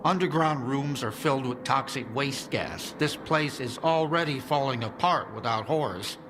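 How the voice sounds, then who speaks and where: A man's voice: mid-range voice, moderate pace, natural voice. A man voicing a worried male survivor in a video game, explaining things in an earnest, urgent way. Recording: game audio with clear ambient noise.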